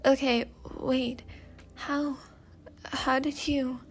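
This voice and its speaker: A woman's voice: high voice, flat delivery, extremely slow, faint music behind. A woman voicing a sceptical, sarcastic seventeen-year-old girl with a dry, muttering delivery in a story reading. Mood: wary.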